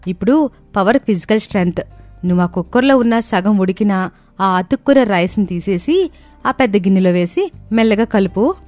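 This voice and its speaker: A woman's voice: medium pitch, animated, fairly quick, a little mellow, no room sound, soft background music. A woman reading the lines of a family story, voicing the daughters-in-law and the mother-in-law in turn. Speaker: storyteller voicing all characters.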